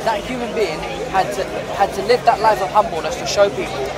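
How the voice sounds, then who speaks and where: A man's voice: high-pitched, fairly quick. A young man explaining his beliefs at length in a street debate, rambling and often asking "right?". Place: crowded city park outdoors. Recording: loud crowd noise outdoors. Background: crowd chatter.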